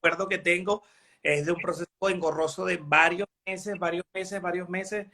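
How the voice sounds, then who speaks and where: A journalist from Venezuela asking an expert long, winding questions with many hesitations. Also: male voice, high voice, steady tone, normal speed, pushed and bright, slight background noise. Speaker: journalist interviewer. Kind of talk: interview questions.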